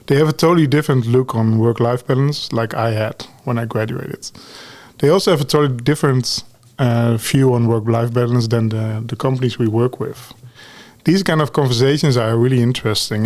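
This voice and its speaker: Dutch man in his forties, easygoing. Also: mid-range voice. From Netherlands. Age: 40s. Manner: relaxed, tags sentences with "huh?" and "eh?". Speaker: guest, talent lead. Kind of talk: podcast conversation.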